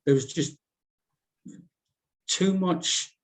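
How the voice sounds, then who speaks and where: A man's voice: high voice, drawn-out words. A man giving blunt, critical feedback on a script in informal discussion.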